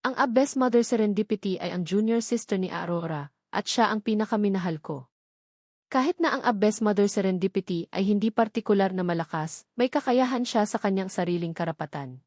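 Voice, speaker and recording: A woman's voice: medium pitch, fairly quick, darkish tone, dry recording, studio quality. A woman reading a novel aloud as a scripted audiobook narration.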